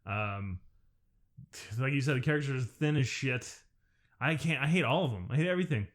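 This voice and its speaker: A man's voice: mid-range voice, animated, fairly quick. A man co-hosting a casual podcast, joking and mocking the film, often laughing along. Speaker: podcast co-host. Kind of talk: casual film review chat.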